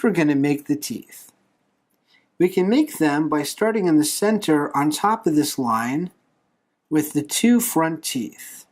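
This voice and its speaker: Someone hosting a how-to-draw lesson, bit raspy, pitch moves around a lot, jokey and encouraging. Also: a little mellow. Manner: playful, light humour, encouraging. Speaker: host of a drawing tutorial show. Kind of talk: step-by-step drawing tutorial narration.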